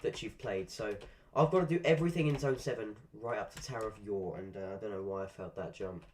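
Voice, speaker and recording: A man's voice: on the low side, fast, a little hiss or hum. Chatty man streaming a game. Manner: chatty, swears at the game, rambling asides. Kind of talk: casual live-stream commentary. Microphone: desk microphone.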